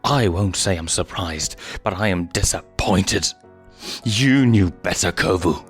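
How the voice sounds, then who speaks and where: A man's voice: low-pitched, a little slow, slightly rough, faint music behind. A man voicing a stern, protective male lion king who admonishes like a disappointed father in a storybook reading.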